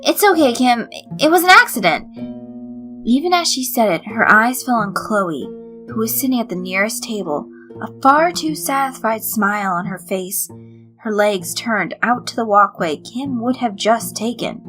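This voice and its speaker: A woman reading a scripted story as narrator, in an expressive storytelling style. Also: on the high side, normal speed, poor audio. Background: light background music.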